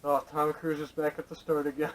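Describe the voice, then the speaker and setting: A man's voice: a little high, little pitch movement, brisk. A man co-hosting a podcast in casual, sarcastic banter with plenty of swearing and teasing, caught on a camera microphone. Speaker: podcast co-host. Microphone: camera audio from a distance. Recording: distant camera microphone, poor audio.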